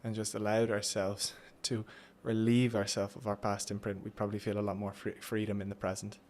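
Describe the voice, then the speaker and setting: An Irish man hosting a reflective conversation, musing slowly and thoughtfully. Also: on the low side, completely flat delivery, a little mellow, a little hiss or hum. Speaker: podcast host.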